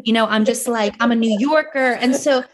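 A woman's voice: fairly high pitch, normal speed. A woman in her thirties from New Jersey, with a Jersey accent, speaking earnestly and reflectively as a coach on a podcast. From New Jersey, United States. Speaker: guest, coach. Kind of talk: podcast conversation.

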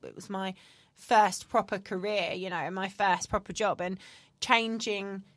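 A woman's voice: mid-range voice, steady tone, unhurried, a bit bright, dry recording. Woman, thirties, casual podcast talk, candid, filler-heavy, dry recording. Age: 30s. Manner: chatty, candid, frequent fillers. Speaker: podcast co-host. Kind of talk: casual chat.

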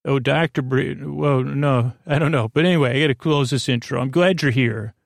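Male bedtime podcast host, mid-pitched and gravelly, goofy and digressive, halting delivery, recorded dry. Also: no echo. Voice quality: creaky, gruffish voice. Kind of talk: rambling, meandering monologue to lull listeners to sleep.

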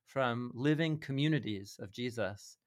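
A man with a mid-pitched voice explains ideas as a podcast guest. He speaks like a teacher in conversation, thoughtful and earnest, often with a small chuckle. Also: unhurried.

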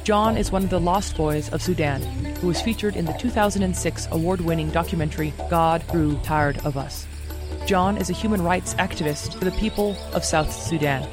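Male radio host, high-pitched, welcoming interviewer, music bed. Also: moderate pace. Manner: warm, welcoming introductions. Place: radio studio.

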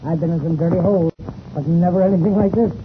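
An actor playing a grumbling, wisecracking government agent in a 1930s radio drama. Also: male voice, fairly high pitch, fairly even intonation, fast talker, lightly acted. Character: wry adult male government agent. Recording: tinny, hissy old radio recording.

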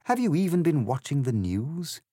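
Man acting an exasperated, high-pitched politician. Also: sing-song, normal speed, mellow, no room sound, studio quality. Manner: blunt, complaining, pleading. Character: exasperated, anguished male member of parliament.

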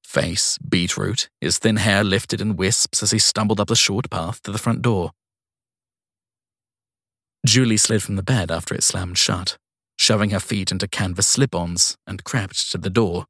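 A man's voice: on the low side, a bit bright, breathy edge, no echo, clean studio sound. A man reading crime fiction aloud as scripted audiobook narration.

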